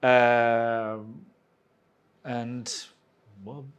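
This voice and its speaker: Guest role: Chilean man, 40s, casual and halting, dry wit. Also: mid-range voice, flat delivery, clean tone, dull audio. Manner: hesitant, restarts phrases, dry humor. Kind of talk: casual interview chat.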